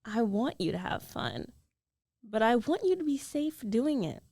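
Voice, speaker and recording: A woman's voice: a little high, sing-song, measured pace, darkish tone, dry recording, exaggerated voice. Woman acting a jealous roommate, close and dry. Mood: worried, scolding. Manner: emotional, conversational, pleading and protesting. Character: jealous, defensive young woman roommate secretly in love. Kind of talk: girlfriend ASMR roleplay acting. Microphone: close studio microphone, dry.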